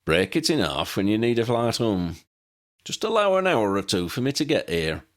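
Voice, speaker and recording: Man putting on an eccentric old pilot, very animated. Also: fairly low pitch, normal speed, voice pushed up. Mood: chatty. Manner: jaunty, rambling, folksy. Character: eccentric, chatty old male aeroplane pilot.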